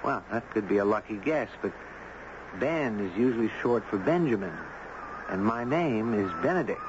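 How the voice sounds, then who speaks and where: A man's voice: fairly low pitch, lively intonation, moderate pace, natural voice. An actor playing an earnest, inquisitive man in a 1970s radio drama. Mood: insistent. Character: earnest, inquisitive man, entranced by a strange girl. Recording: muffled, noisy 1970s radio recording.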